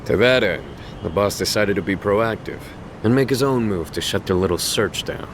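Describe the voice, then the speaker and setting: A man's voice: lowish voice, normal speed, background noise, natural voice. A man playing a sly, confident fixer loyal to his boss in an English video game dub, speaking in a casual, coy way.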